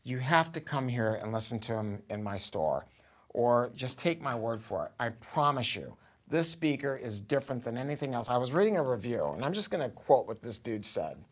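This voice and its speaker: American guy in his 60s doing a casual product review, mid pitched voice, talks like an enthusiastic salesman, really emphatic. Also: big pitch swings, moderate pace. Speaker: audio store owner presenting a product review. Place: showroom, indoors.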